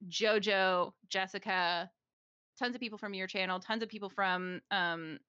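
A woman co-hosting a casual podcast chat, talking fast with lots of fillers and frequent laughs. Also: medium pitch, bright, forceful tone, smooth, no echo, highs cut off. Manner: chatty, laughs often, many fillers. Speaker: podcast co-host, streamer.

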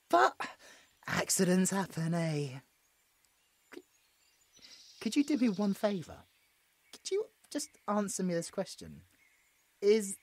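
A woman playing an anguished, guilt-ridden young British male adventurer in an audio roleplay, halting and emotional. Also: lowish voice, exaggerated voice. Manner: halting, emotional, breaking into bitter laughs.

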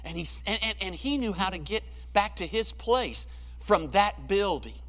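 A middle-aged man preaching a sermon in a church sanctuary, swelling to emphatic peaks and then dropping quiet and low. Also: animated, measured pace. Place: church sanctuary, large room. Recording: long church reverb.

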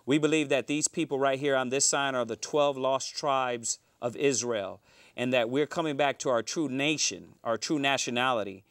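Man in his thirties hosting a talk show, smooth voice. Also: medium pitch, normal speed, fairly bright tone, no room sound. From Houston, Texas. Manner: rambling, restarts with many fillers. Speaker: host. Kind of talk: live cable-access talk show. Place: small TV studio.

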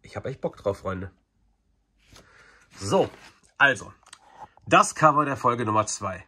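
A man in his forties, chatty and enthusiastic, talking casually through an unboxing review with a slightly husky voice. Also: mid-range voice, expressive, takes it slowly, pushed and bright. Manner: chatty, enthusiastic fan talk. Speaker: video reviewer.

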